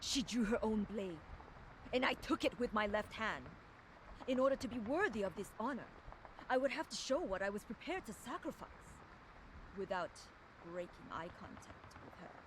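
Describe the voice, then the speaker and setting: A woman's voice: mid-range voice, expressive, moderate pace, noisy background, lightly acted. An actress playing a proud, fierce young woman enforcer, delivering a solemn, controlled dramatic monologue for a video game audio log. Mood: solemn, reverent.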